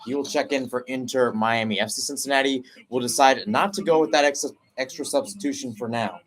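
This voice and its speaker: A man calling a football match live, laying out the tactics and the changes as they happen. His delivery is energetic and analytical, and his pitch sits in a middle range for a man. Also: a little fast. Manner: energetic, analytical, breaks between halves. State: voice recovering after losing it. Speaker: play-by-play commentator. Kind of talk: live football play-by-play commentary.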